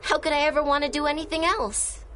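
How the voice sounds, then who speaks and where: A confident young crime-fighting heroine in a radio adventure. Her voice sits a little high, earnest with playful teasing. Faint noise runs under it. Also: steady tone, fast, fairly bright tone. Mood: wistful, teasing. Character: confident, playful young female time-traveling superhero. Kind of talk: radio drama acting.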